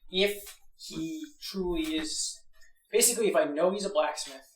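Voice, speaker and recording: A man's voice: a little high, normal speed, far from the mic. A man playing a tabletop game, talking casually with the other players. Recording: roomy, off-mic, chatter around table.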